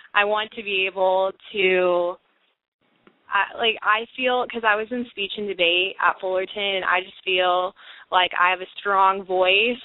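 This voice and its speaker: A woman's voice: on the low side, dry recording. Earnest young woman on a phone line. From California. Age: young adult. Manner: earnest, chatty, with many fillers. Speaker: guest. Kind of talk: casual phone interview.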